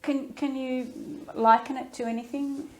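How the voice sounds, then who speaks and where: A woman with a fairly high voice asks the questions in an oral history interview. She probes gently and in a measured way, following up on what the speaker describes. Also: level tone, poor audio.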